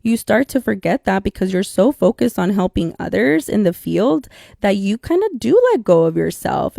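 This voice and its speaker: Chatty woman with a gravelly voice. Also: medium pitch, darkish tone, dry recording, studio quality. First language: Spanish. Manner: candid, chatty, addresses listeners as y'all. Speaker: podcast co-host. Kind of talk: casual solo podcast talk.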